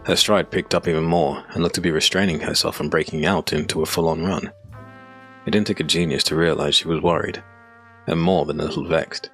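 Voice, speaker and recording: A man reads dark fantasy narration for an audio drama. His voice is deep and low, and he tells the story from a script with music playing faintly underneath. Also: fairly even intonation, a little fast.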